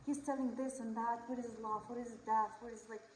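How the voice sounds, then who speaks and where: A woman, chatting casually to camera in a halting, rambling way. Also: on the high side, steady tone. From Latvia. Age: 40s. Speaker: Vedic astrology teacher. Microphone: headset. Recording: roomy echo with faint background noise.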